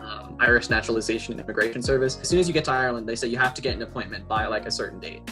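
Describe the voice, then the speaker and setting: A young man from the United States, with an American accent, explains things as a student interviewee. He talks very fast in a casual way. Faint music sits behind his voice. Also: mid-range voice, pushed and bright. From Colorado, United States. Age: young adult.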